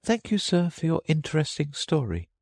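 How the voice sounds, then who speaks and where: A man voicing a polite, curious little girl from a storybook, prim and quick to take offence. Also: a little high, wildly swooping pitch, vocal fry, a little mellow, no room sound, clean studio sound, voice pushed up, heavily acted. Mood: politely puzzled. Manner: prim, well-mannered. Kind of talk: storybook character reading.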